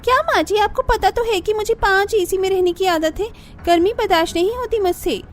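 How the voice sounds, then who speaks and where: A woman's voice: very high-pitched, fairly quick, slight background noise. A woman voicing a pampered, complaining young sister-in-law with a whiny, dramatic manner in a Hindi cartoon. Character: pampered, complaining young Indian elder sister-in-law who hates heat. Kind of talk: Hindi animated family story acting.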